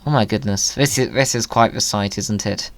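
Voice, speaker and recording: A gaming video host chats away in a medium-pitched voice, recorded close and dry. The delivery is playful, whimsical and excitable, like someone happily showing viewers around a game. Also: moderate pace, no echo.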